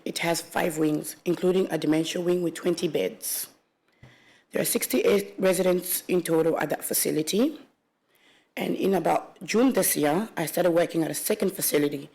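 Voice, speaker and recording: Young woman from congo reading her statement, kinda low voice, careful and steady, few restarts. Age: 20s. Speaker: witness, aged care worker. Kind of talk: reading a prepared statement aloud. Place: public hearing room.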